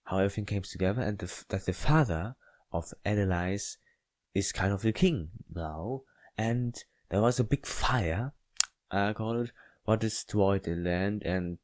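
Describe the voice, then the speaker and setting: Slow, halting man narrating a game. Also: sits low, a little mellow, no room sound. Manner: halting, stumbles and repeats words while reading. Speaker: gaming video host playing a visual novel. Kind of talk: casual let's play commentary with read-aloud story text.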